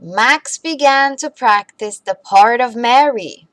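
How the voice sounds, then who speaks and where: Woman, high voice, expressive children's storyteller, deliberate read-aloud, close dry sound. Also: dry recording. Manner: animated, deliberate delivery for young children.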